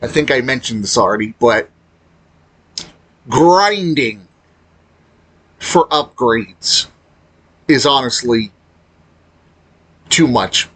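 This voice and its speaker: A man giving casual, unscripted opinion commentary as a gaming video creator, halting as he talks and blunt in his complaints. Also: medium pitch, animated, a bit bright, low-quality recording.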